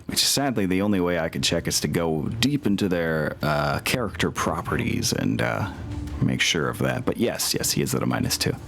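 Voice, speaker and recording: A man acting as game master, casually narrating a tabletop game and thinking aloud in a halting way. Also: low-pitched, very animated, vocal fry, a bit bright. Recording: music behind the voice. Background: background music.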